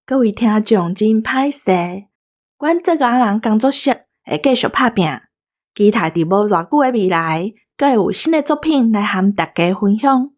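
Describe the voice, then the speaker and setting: A woman's voice: medium pitch, animated, normal speed, a little mellow. A woman with a Taiwanese accent co-hosting a show, bantering with a partner and addressing listeners. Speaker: co-host.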